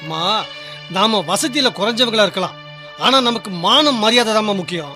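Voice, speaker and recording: A man's voice: high-pitched, lively intonation, moderate pace, bright, forceful tone, soft background music, exaggerated voice. A man acting in a Tamil radio drama as an agitated, proud son, speaking in an indignant, insistent way. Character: agitated, proud son objecting to his sister's wedding.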